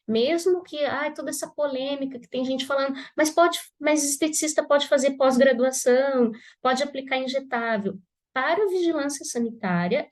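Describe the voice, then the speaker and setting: A Brazilian woman with a slightly high voice teaches students in a live class. She is stuffed up with a cold, and her talk stays casual and chatty, with lots of "né?" tags. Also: darkish tone. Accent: Brazilian Portuguese. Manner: conversational, frequent "né?" tags. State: congested with a cold. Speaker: pharmacist teaching aesthetics students.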